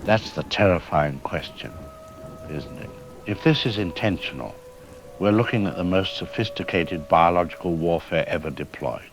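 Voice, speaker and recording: A man playing a serious, measured scientist explaining things as a radio interview guest in an audio drama. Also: low voice, faint music behind. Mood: grave, ominous.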